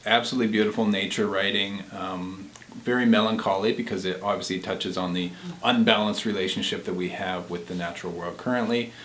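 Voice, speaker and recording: A man's voice: low voice, steady tone, brisk, a bit bright, slight background noise. A man in his forties giving casual, enthusiastic book recommendations as co-host of a video blog. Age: 40s. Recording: echoey, roomy sound.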